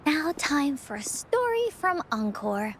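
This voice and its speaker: A woman voicing a cheerful, imaginative young girl who tells adventure stories in an expressive, childlike way for a video game. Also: high-pitched, slow, a little hiss or hum, heavily acted. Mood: earnest storytelling. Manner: childlike, expressive, storybook-style narration. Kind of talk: video game voice acting, storytelling.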